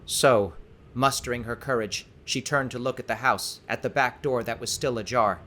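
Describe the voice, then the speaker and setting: Male narrator, dramatic audiobook read; mid pitch, crisp forceful tone, faint background rumble. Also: moderate pace.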